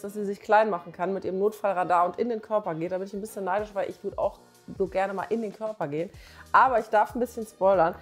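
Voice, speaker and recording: A woman's voice: medium pitch, a little mellow. A woman in her forties chatting casually and emphatically to camera, full of enthusiasm, in colloquial language. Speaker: doctor and author presenting her comic. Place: small living room on a sofa, indoors. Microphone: clip-on lapel mic.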